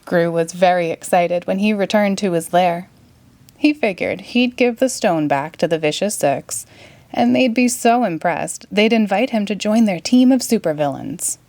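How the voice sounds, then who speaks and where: A woman narrating a children's story in a clear, expressive read-aloud manner. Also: mid-range voice, no echo. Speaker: storybook reader.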